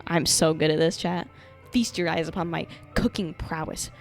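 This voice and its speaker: A woman's voice: low-pitched, steady tone, normal speed. A young woman livestreaming a game, commenting casually and playfully, exclaiming often and reading dialogue aloud. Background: game music.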